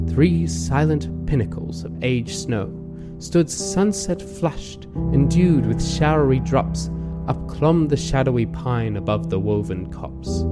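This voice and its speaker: A man recites verse in a solemn, dramatic manner. He takes it slowly in a mid-pitched voice, with loud guitar music playing behind him. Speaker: poetry reader. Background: plucked guitar music.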